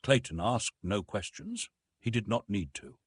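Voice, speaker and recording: Husky, expressive male audiobook narrator. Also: fairly low pitch, a little slow, no room sound. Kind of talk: scripted dramatic narration.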